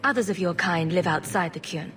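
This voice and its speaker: A woman playing a calm, diplomatic young mage adventurer in a video game, speaking in an earnest, measured way. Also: fairly low pitch, soft background music, natural voice. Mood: earnest, concerned. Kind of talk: video game cutscene acting.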